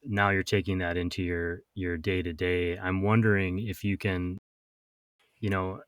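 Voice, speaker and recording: A man's voice: low-pitched, little pitch movement, a little slow, clean tone, dry recording, studio quality. A male podcast host from Wisconsin, reflecting on his own experience before asking his guest a question. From Wisconsin, USA. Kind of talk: interview questions.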